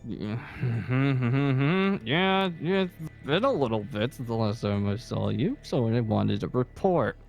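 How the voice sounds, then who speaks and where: A man plays a talkative survivor-camp colonel in a game roleplay. His voice is gravelly and jumps around in pitch. He rambles, doubling back on his own words. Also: lively intonation, unhurried, few pauses, faint music behind. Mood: chatty, probing. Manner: rambling, repeats himself. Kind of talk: improvised roleplay dialogue.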